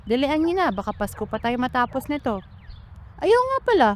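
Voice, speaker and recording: A woman's voice: sits high, very animated, moderate pace, slight background noise. A woman with a Filipino accent playing a doting but careless, teasing mother in a radio drama. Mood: impatient, nagging. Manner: chatty, playful, nagging. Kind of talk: radio drama acting in casual family banter.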